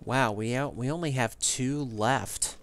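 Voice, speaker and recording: A co-player chatting casually during a game stream, wry and quick to laugh. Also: mid-range voice. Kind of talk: casual gaming chat.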